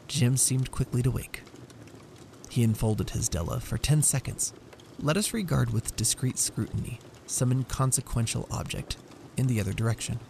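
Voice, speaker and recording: A man giving a measured, theatrical reading of a story as scripted narration. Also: mid-range voice, vocal fry, dark tone, a little hiss or hum. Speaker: story reader.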